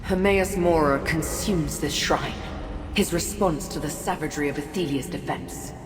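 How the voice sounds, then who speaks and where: A woman's voice: deep voice, close to an everyday voice. Solemn, echoing otherworldly goddess, voiced by a woman. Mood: grave, foreboding. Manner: grave, measured, portentous. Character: solemn female godlike prince, grave otherworldly guide. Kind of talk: scripted fantasy video game dialogue. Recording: echoing reverberant voice, music behind.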